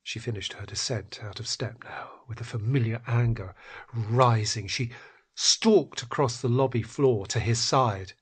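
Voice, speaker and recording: A man reading scripted literary fiction in a husky, breathy voice that often drops toward a whisper, with measured, dramatic phrasing. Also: medium pitch. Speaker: narrator. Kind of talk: scripted literary story narration.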